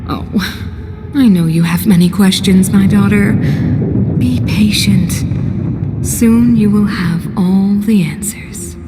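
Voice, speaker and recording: Actress as a slow, solemn ruler in a reverberant hall. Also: female voice, mid-range voice, music in the background, lightly acted. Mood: coolly patient. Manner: measured, theatrical, grave. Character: solemn, bitterly ironic mother and ruler, coolly patient. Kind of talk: dramatic video game cutscene acting. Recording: long hall reverb, background noise.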